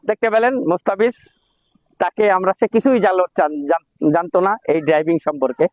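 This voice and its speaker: A man's voice: high-pitched, brisk, dry recording, dull audio. A Bangladeshi man presenting as a driving instructor in a promotional vlog, with a repetitive, slogan-like sales delivery.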